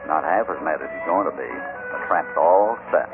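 An American actor playing a calm, confident cowboy hero in an old-time radio western. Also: male voice, a bass voice, normal speed. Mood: watchful. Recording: muffled old radio, music behind.

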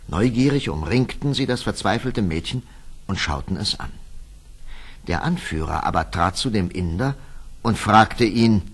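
A man narrating a scripted fairy tale with expressive, dramatic storytelling. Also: fairly low pitch, a little slow, breathy edge.

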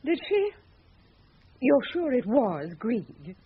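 A woman's voice: a little high, wildly swooping pitch, slow pace, a little hiss or hum, full character voice. A woman acting in a radio drama as a scornful, sarcastic woman with a mocking, clipped way of questioning. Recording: dull, muffled radio drama recording.

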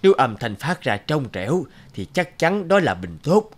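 A man playing a polite, helpful pottery seller in an animated folk tale, hesitant and deferential. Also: mid-range voice, moderate pace. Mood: courteous.

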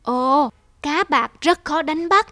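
A woman voicing a weary old male traveler, gentle and courteous, in a cartoon folk tale. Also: high-pitched, slow, exaggerated voice.

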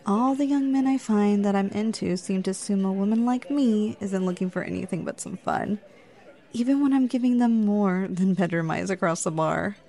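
A woman's voice: mid-range voice, moderate pace, dark tone, natural voice. Flirty, teasing woman acting on a date. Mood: amused, flirty. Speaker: ASMR roleplayer. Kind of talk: ASMR roleplay.

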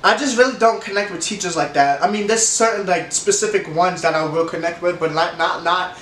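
A young man with a stuffy nose, telling a rambling casual story time to camera, animated and laughing often. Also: sits high, fairly quick, a little hiss or hum. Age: young adult. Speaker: vlogger. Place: room with leather couch, indoors. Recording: echoey room, camera a bit far.

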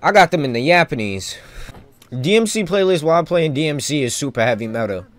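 A man streaming a video game with casual live commentary, rambling and thinking out loud in loose slang. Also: fairly high pitch, lively intonation, brisk.

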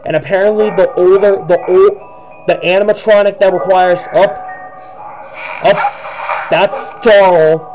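A man's voice: high voice, steady tone, unhurried, long pauses. A young man giving nervous, rambling gameplay commentary with many restarts, his voice cracking. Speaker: gamer. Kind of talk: let's play commentary. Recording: dull lo-fi sound with game music.

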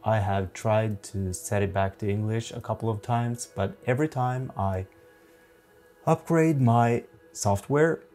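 Man, Norwegian accent, 40s, low voice, slow and halting, software tutorial, faint music behind. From Norway. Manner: slow, methodical, halting explanations. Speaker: photography instructor.

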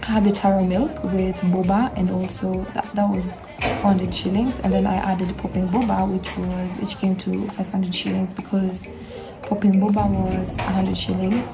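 A woman's voice: mid-range voice, normal speed, gravelly, over music. A young woman with a Kenyan accent, chatting casually to her phone camera from a small bedroom as she reviews a café. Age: young adult. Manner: chatty, animated hand gestures, emphatic asides. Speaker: vlogger.

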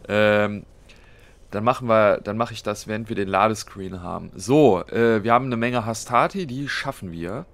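A man's voice: on the low side, normal speed, fairly bright tone. Man with a cold, thinking aloud. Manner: thinks aloud, many fillers, plans moves step by step. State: has a cold. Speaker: let's play host. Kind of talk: casual gameplay commentary.

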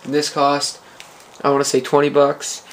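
A man casually talking through welding gear in a garage workshop, relaxed, with lots of uh fillers. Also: on the high side, moderate pace, a little hiss or hum. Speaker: hobby welder showing gear. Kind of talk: casual how-to vlog. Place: garage workshop with concrete floor.

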